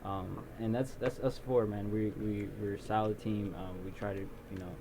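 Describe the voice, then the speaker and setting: A young man being interviewed about his video production company, talking in a relaxed, rambling way with lots of ums. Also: fairly low pitch, normal speed. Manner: relaxed storyteller, many ums and restarts. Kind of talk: casual interview. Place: small recording studio. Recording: noisy background.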